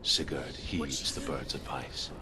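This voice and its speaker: An actor playing a solemn storyteller in a video game, telling Norse legends in a hushed, breathy, measured way. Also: male voice, very deep voice, steady tone, over loud music. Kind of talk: hushed myth narration.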